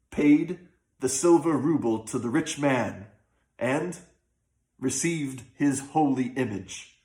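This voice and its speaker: A man narrating a scripted folk tale with an expressive, old-fashioned storytelling delivery. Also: medium pitch. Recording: roomy, echoing sound.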